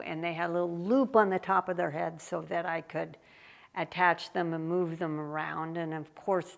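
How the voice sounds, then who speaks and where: A woman artist explains her work on a tour of a gallery, taking her time. Her speech is slow with many ums and pauses, and her voice has a gravelly fry. She sounds relaxed and explanatory. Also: medium pitch. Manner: unhurried, with frequent ums and pauses. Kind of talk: casual explanatory walk-through. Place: art gallery, indoors.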